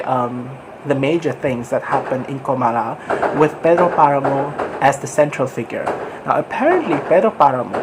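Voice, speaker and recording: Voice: mid-range voice, background noise. A book vlogger, chatting casually and rambling a little while nursing a cold, sniffling, with frequent small laughs. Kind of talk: casual book review chat.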